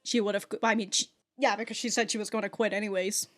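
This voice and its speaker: A woman's voice: medium pitch, fairly even intonation, fast talker. A woman hosting a reaction video, commenting casually in slangy, sweary language.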